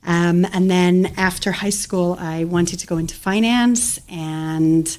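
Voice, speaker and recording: A woman's voice: on the low side, fairly even intonation, slow pace, darkish tone. A woman from Scotland who speaks with an American accent, explaining personal finance as an interview guest in a friendly, casual way. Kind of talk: conversational interview.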